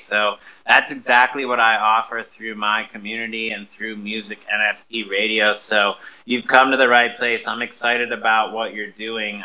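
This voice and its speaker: A man speaks as a radio show host in a casual, confident, self-promoting way. His voice is very bright and forceful, pitched slightly low. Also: rough recording. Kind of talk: casual interview hosting.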